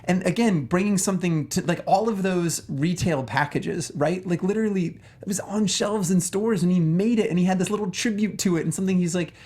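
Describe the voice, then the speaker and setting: A man's voice: sits high, brisk, few pauses, mellow. Casting: male panel co-host, chatty and informal, sprinkles in "like" and "right?" throughout. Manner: chatty, peppered with "like" and tag questions. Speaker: talk show co-host. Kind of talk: casual chat, film discussion.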